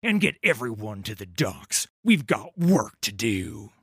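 A man's voice: lowish voice, sing-song, a little slow, creaky, slightly rough, no echo, full character voice. A man voicing a cold, menacing pirate captain. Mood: commanding. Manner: quiet, controlled menace. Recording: dry studio recording.

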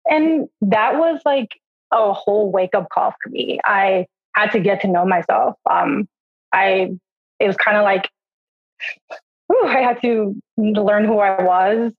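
A woman's voice: medium pitch, measured pace, narrow, tinny audio. A woman in her thirties from the Midwest, a podcast guest telling her story in a chatty way, with lots of "like" and "um" and frequent laughs. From US Midwest, Milwaukee. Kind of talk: casual personal storytelling.

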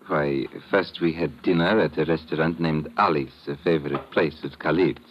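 A courteous, formal male aide with an extremely deep voice, smooth and composed, in a 1950s radio drama with muffled sound. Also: fairly even intonation, moderate pace. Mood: polite, composed. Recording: dull old radio broadcast.

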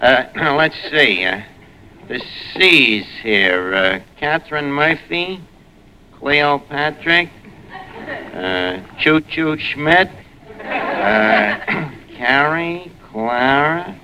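A man's voice: a little high, animated, very slow, pushed and bright, exaggerated voice. A man playing a brash, slangy New Yorker who mangles fancy words in a 1940s radio comedy. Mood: casual. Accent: New York. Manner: wisecracking, slangy, malapropisms. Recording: noisy old radio recording with studio audience.

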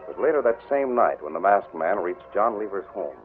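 A man narrating a 1940s radio drama in a measured, dramatic way. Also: low voice, big pitch swings. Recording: tinny old radio with music behind.

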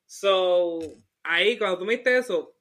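A man's voice: sits high, measured pace, a bit bright. A man with a Puerto Rican accent co-hosting a podcast, talking about a film in a playful, casual way and mixing in English. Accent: Puerto Rican Spanish. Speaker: podcast co-host. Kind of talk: casual chat, film review.

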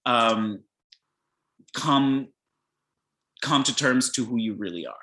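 A man from Peru, a podcast guest, talking about his life in a reflective, hesitant way with many pauses and restarts. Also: mid-range voice, animated, sharp tone, roomy sound. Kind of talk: casual conversation.

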